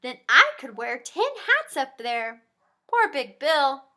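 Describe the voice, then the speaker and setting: Bright, lively woman reading to kids. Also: sits high, slow. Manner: animated, talks directly to young listeners. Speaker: pediatric therapist. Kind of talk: reading a picture book to children.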